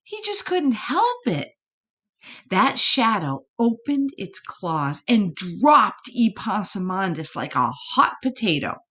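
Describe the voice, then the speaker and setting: A woman reading a children's story expressively, with dramatic pauses and drawn-out words. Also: medium pitch, very animated, fairly bright tone. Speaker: storybook reader.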